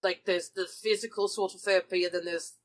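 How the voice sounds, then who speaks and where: A woman's voice: lowish voice, steady tone, a little fast. A woman playing a tabletop game, switching between casual improvised role-play and wry, chatty table banter.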